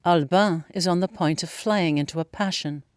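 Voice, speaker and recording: A woman's voice: lowish voice, normal speed, dry recording, clean studio sound. A woman reading stage directions as the narrator of an audio play.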